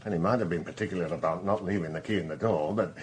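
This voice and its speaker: An actor playing a touchy, plain-spoken husband in a radio drama, with a blunt, colloquial way of talking. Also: male voice, low voice, fast talker, lightly acted. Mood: coaxing. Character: small, touchy, blunt husband, tender to his wife.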